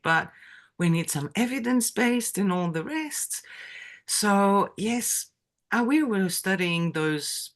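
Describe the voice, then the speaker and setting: French woman in her middle years, slow easy storytelling, lots of ums and giggles, bit low pitched. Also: fairly bright tone. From France. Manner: chatty, many fillers and laughs. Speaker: interview guest. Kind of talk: casual storytelling.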